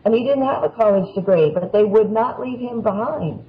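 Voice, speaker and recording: A man's voice: sits high, brisk, a crowd in the background. A man speaking as a panel guest in a casual discussion, explaining things through anecdotes. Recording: dull lo-fi audio.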